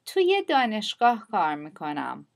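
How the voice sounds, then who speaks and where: A woman speaking scripted dialogue lines for a language lesson, with crisp, lively intonation. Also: medium pitch, very fast.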